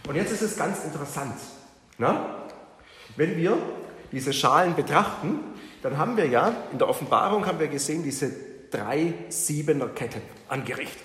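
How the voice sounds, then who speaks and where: A man's voice: a little high, lively intonation, normal speed, a bit bright. A man leading a Bible study, teaching a group in an informal, interactive way. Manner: informal, questions the group and repeats their answers. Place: reverberant room with a group present. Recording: echoey hall with background noise.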